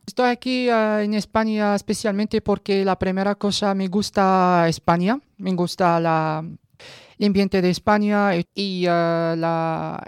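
A woman in her thirties with a Moroccan accent gives a personal testimony, haltingly searching for words in a language that is not her first. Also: fairly low pitch, clear, resonant tone, dry recording, clean studio sound. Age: 30s. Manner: halting, searching for words in non-native Spanish. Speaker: radio guest giving a personal testimony.

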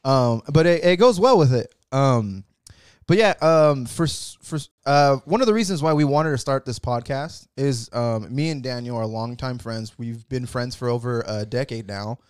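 A man's voice: medium pitch, normal speed, a little mellow, clean tone, no room sound, studio quality. A young man co-hosting a casual podcast with a friend, laid-back and slangy, swearing casually. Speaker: podcast co-host. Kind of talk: casual chat.

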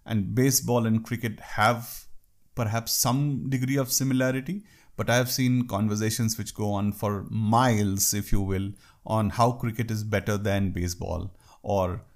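Low-voiced Indian man giving workplace advice. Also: fairly even intonation, normal speed. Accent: Indian English. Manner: conversational, wry asides with occasional laughs. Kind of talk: talk on workplace culture.

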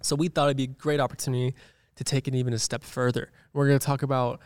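A young man in his early twenties with a smooth, clear, resonant voice of middle pitch. He co-hosts a church podcast with an upbeat, playful manner, and the recording is close and dry. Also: flat delivery, quick pace, dry recording. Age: 20s. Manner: upbeat, reading notes aloud, playful teasing. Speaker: podcast co-host. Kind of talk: casual chat.

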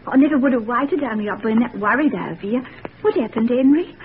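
An actress plays a devoted young working-class girl, speaking in an eager, breathless, pleading way, pitched a little high. It sounds like a thin old radio broadcast with a faint hiss. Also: a little fast, narrow, tinny audio, close to an everyday voice. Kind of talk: 1940s radio acting.

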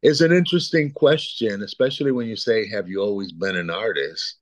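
Soft-spoken man in his sixties, dry sound. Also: lowish voice, fairly quick, no room sound, muffled recording. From Puerto Rico. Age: 60s. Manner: rambling, repeats words, chuckles between thoughts. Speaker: guest artist. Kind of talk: casual interview chat.

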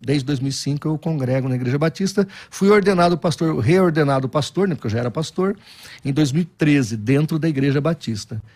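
A middle-aged man speaks as a guest pastor. His voice sits somewhat high and he tells his anecdotes with energy, often restarting his sentences. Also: a little fast. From Brazil. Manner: animated, self-deprecating anecdotes with frequent restarts. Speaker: Baptist pastor. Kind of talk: storytelling interview answers.

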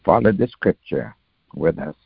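A male senior pastor teaches the Bible very slowly and deliberately, in a measured, instructive way. His voice is fairly low with a lot of gravelly creak. The sound is thin and narrow, like a phone line. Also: sing-song, dry recording. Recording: tinny phone-like dry audio.

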